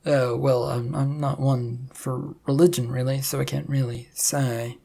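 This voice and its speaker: Flustered storybook man, acted by the narrator: mellow, rough-edged voice, halting, repetitive, awkwardly skeptical. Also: mid-range voice, flat delivery. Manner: hesitant, stammering, repeats words.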